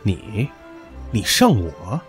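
A man's voice: lowish voice, huge pitch swings, very slow, soft background music, full character voice. An actor in an audio drama playing a pushy, teasing young man who talks crudely and with swagger.

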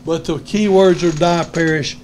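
Older male lecturer, high-ish voice, earnest and emphatic, lapel mic in a classroom. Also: steady tone, normal speed, darkish tone. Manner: earnest, emphatic, repeats key words. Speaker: Bible teacher. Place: indoor lecture room.

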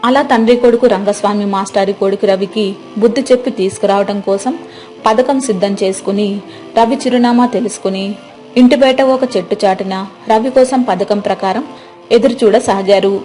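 A woman's voice: medium pitch, brisk, dark tone, soft background music. A woman narrating a scripted moral story.

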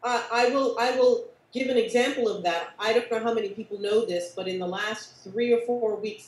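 A middle-aged woman moderating a live online panel discussion over a video call. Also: mid-range voice. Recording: roomy, echoey video-call sound.

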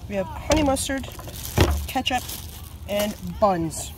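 A woman's voice: medium pitch, expressive, slow. A middle-aged woman chatting casually as she cooks outdoors, recorded on a phone. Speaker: home vlogger and mother cooking outdoors. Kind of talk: casual chat while cooking. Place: outdoors at a picnic table on a beach. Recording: loud outdoor beach noise, sizzling pan. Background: sizzling frying, outdoor ambience.

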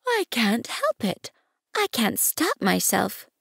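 A woman's voice: sits high, lively intonation, a little slow, creaky, no echo, clean studio sound, pitched above the performer's own voice, exaggerated voice. A woman voicing a timid, curious young prince, a small boy in a storybook, with a shy questioning manner. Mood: embarrassed, abashed. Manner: timid, questioning, soft-edged.

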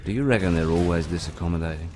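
An actor playing a sly, flippant rogue pirate, droll and wordy, in a video game. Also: male voice, low-pitched, racing, over music, natural voice. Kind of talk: video game cutscene acting.

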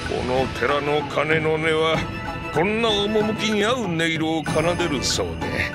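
A man's voice: on the high side, a little slow, loud music behind the voice, lightly acted. A man voicing a scheming, volatile middle-aged warlord villain in a Japanese video game, melodramatic, swinging from sly muttering to shrieks. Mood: falsely courteous.

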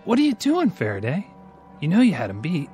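Brash, animated card player. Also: male voice, a little high, fairly quick. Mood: indignant. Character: brash, suspicious card-playing crony. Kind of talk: radio drama acting. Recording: radio drama with music underneath.

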